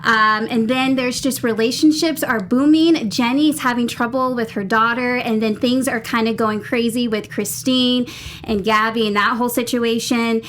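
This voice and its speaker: A woman's voice: on the high side, steady tone, normal speed, a bit bright, a little hiss or hum. A woman hosting a casual panel recap show, talking in a chatty, informal, upbeat way. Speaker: talk show host.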